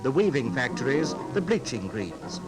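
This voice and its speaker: A man's voice: mid-range voice, sing-song, normal speed, music in the background. A British man announcing in formal, declamatory 1950s newsreel style, reading a script. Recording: 1950s archive newsreel soundtrack.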